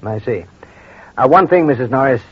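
An actor playing a courteous, probing crime doctor in an old-time radio drama, speaking in a measured, formal, reassuring way. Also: male voice, medium pitch, animated, husky, no room sound. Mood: calm, concerned. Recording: muffled vintage radio recording.

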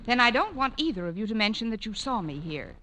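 Bright, lively radio comedy schoolteacher. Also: female voice, on the high side, fast talker, a little hiss or hum. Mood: conspiratorial, brisk. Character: wry, brisk adult woman schoolteacher with a secret. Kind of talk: 1940s-50s radio comedy acting. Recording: old radio recording, faint studio audience.

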